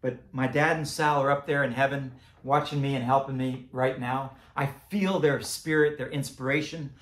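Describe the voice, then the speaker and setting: A man's voice: medium pitch, fairly even intonation, normal speed, fairly bright tone, roomy sound. A man with a cracking, fading voice, chatting casually in a vlog, rambling, chuckling and correcting himself as he goes. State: losing his voice, cracking. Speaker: creator and seller of animatronic band items. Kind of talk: casual unboxing vlog chat.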